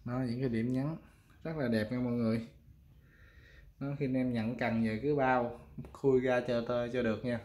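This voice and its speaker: A man's voice: medium pitch, steady tone, moderate pace, pauses often, darkish tone. A man with a Southern Vietnamese accent casually showing goods for sale, talking informally to viewers as friends. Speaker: fishing rod seller presenting products. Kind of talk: casual product showcase.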